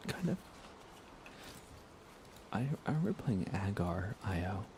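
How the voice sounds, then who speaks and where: A man chatting casually in a soft ASMR gaming-stream voice, often dropping toward a whisper. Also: big pitch swings, measured pace, a little hiss or hum. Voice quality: creaky, low. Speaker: livestreaming gamer.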